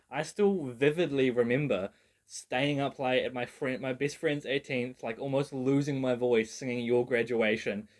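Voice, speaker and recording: A young New man talks through a music genre in a loose, rambling vlog style. He mocks himself, swears freely and leans on "like" as a filler. His pitch sits right in the middle for a man. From New Zealand. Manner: rambling, self-mocking, swears, many "like" fillers. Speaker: video creator. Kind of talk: casual genre breakdown.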